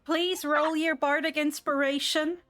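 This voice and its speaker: High-voiced, unhurried woman running a game. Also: fairly even intonation, fairly bright tone, faint music behind. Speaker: game master. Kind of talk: narrating a tabletop game.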